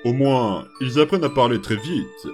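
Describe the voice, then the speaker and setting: A man voicing a patient adult male skeleton who looks after strange children, speaking softly and haltingly with lots of small pauses. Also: medium pitch, animated, soft background music, lightly acted. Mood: gentle. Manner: hesitant, soft-spoken, full of small pauses and fillers. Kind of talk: fan comic dub voice acting.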